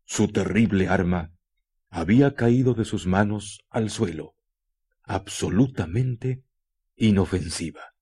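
A man narrating a scripted radio drama in a solemn, deliberate way, with dramatic pauses. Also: lowish voice, warm tone, breathy edge.

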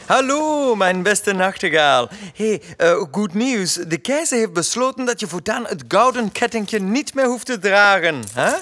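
A man's voice: high-pitched, animated, measured pace, few pauses, fairly bright tone, full character voice. A man playing an obsequious, scheming court steward in a theatrical fairy-tale radio play. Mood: falsely cheerful. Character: scheming, fawning male court steward of an emperor. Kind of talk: fairy-tale audio drama acting.

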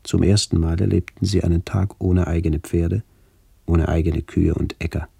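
A middle-aged man reading a literary memoir for radio in a measured, sober way, with a gravelly voice. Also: deep voice, little pitch movement, mellow, no room sound. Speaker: author-narrator of a memoir radio play. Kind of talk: scripted literary narration, reminiscence.

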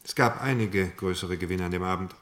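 An actor playing a sober, pragmatic male defence lawyer in a German crime radio play, measured, frank and probing. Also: sits low, fairly bright tone. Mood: dry, frank. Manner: measured, matter-of-fact, questioning.

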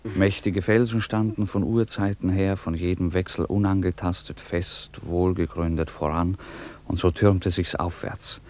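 A man narrating a literary radio drama in a measured, declamatory way with dramatic pauses. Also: sits low, fairly even intonation, a little mellow.

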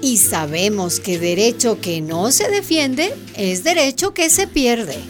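A woman playing a supportive, principled mayor in a radio drama, with a measured, encouraging manner. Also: fairly high pitch, expressive, faint music behind.

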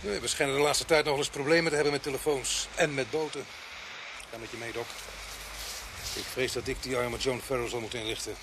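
A man's voice: medium pitch, fairly even intonation, fairly quick, close to an everyday voice. A man playing a grumbling, sardonic police sergeant in a radio play. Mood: resigned. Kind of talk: Dutch radio play acting. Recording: noisy outdoor radio drama scene.

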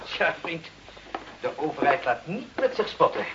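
An actor in a radio play playing a stern, sarcastic customs lieutenant with a commanding, legalistic manner. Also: male voice, fairly high pitch, very animated, a little slow. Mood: smug, threatening. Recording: noisy, muffled old radio play recording.

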